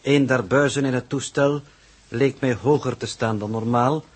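An actor as a pedantic male coordinator aboard a spaceship, with a mid-pitched, husky voice. He is cold, formal and exacting, in the manner of an old 1960s radio serial. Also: normal speed, natural voice. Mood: cold reproof. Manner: formal, precise, clipped officialese. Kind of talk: 1960s radio drama acting.

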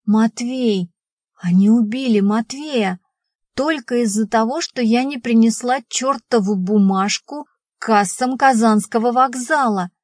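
A woman's voice: a little high, measured pace, a little mellow, no room sound, studio quality. A woman playing a shaken, anxious wife, the heroine of an audiobook novel, with a tense manner. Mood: distraught.

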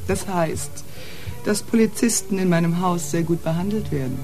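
An actress playing a poised, slightly mocking nightclub proprietor in a radio play, with smooth, guarded politeness. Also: female voice, on the low side, normal speed, over music, lightly acted. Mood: cool, guarded.

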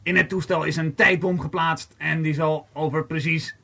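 A man's voice: fairly high pitch, fairly even intonation, moderate pace, vocal fry, a loud crowd. A man acting a stern hijacker making announcements in an amateur radio play. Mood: commanding. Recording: amateur home recording with music.

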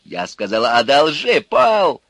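A coarse, bullying petty crook, as a male reader puts him on, barking rough slang in a high, strained and loud voice. The tone is sharp and forceful, with dry close sound. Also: wildly swooping pitch, slow, no room sound, full character voice. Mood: defiant.

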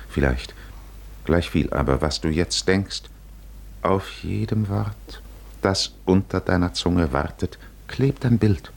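A man narrating a 1950s literary radio play in a musing, measured manner with deliberate pauses. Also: extremely low pitch.

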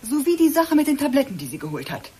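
An actress playing a concerned, conciliatory friend in a German radio drama, coaxing earnestly in standard German. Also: female voice, high-pitched, very animated, fast, warm tone.

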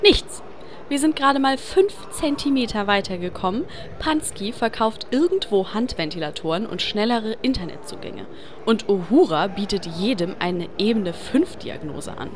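Casting: actress as bored female party member, medium pitch, dry reporting, faint noise behind. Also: animated, normal speed, close to an everyday voice. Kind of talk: comedy audio drama acting.

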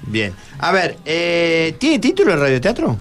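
A man's voice: on the high side, wildly swooping pitch, unhurried, faint music behind. A man with a Rioplatense accent hosting a radio programme off the cuff, often repeating words as he goes. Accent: Rioplatense Argentine.

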